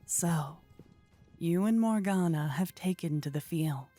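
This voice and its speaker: A woman's voice: lowish voice, unhurried, mellow, soft background music. A woman narrating a scripted fantasy story in an ASMR style.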